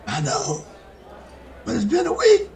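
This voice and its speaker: Creaky, very high cheeky valet. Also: male voice, wildly swooping pitch, unhurried, a little mellow, heavily acted. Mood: teasing. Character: loyal, cheeky male valet and chauffeur. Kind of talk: 1950s radio comedy acting. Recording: live crowd behind.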